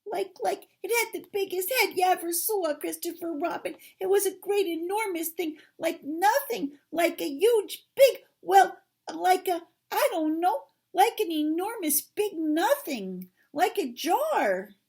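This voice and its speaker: A woman's voice: high voice, very animated, slow, a little mellow, voice pushed up, full character voice. A woman voicing a tiny, timid male piglet in a children's storybook, frantic and stammering, repeating words in alarm. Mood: breathless fright.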